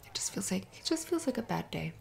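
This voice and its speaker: Woman acting a flustered young cheerleader, creaky voice. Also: mid-range voice, sing-song. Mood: downcast. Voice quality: creaky, often dropping to breathy whispers. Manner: halting, stammering, nervous little laughs. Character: flustered, sweet young cheerleader hiding her upset. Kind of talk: ASMR roleplay, spoken to the listener.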